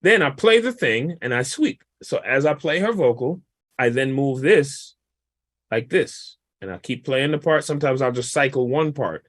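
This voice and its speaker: A man, a guest mix engineer, casually walking through a vocal mixing session in a relaxed, explanatory, colloquial way. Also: mid-range voice, normal speed, no room sound, studio quality.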